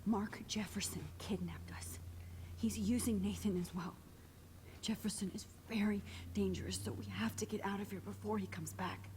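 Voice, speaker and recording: A woman acting a teenage girl captive in a video game. She is hushed, urgent and determined at a medium pitch, with clear noise in the capture. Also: fairly even intonation, normal speed, natural voice.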